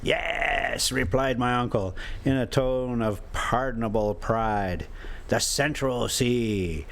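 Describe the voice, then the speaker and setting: A man's voice: mid-range voice, slow, vocal fry, a bit bright. An American man with a folksy, informal way of talking, a vlogger who chats casually and reads stories aloud. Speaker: travel vlogger and storyteller. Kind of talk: casual rambling chat mixed with reading a classic novel aloud.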